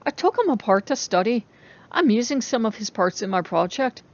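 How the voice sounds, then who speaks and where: A woman's voice: a little high, sing-song, normal speed, dull audio. A woman voicing a troubled, defensive young female student in a story reading, awkward, hesitant and self-conscious. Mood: apologetic. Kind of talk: dramatic audiobook reading.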